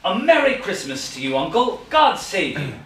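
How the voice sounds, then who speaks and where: A man plays a good-natured young nephew from a storybook, heartfelt and eager in his speech. The voice sits fairly high and comes from a little way off in a very echoey room. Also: animated, moderate pace, a bit bright. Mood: cheerful. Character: cheerful, warm-hearted young nephew, earnest and pleading. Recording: echoey, distant, noisy room recording.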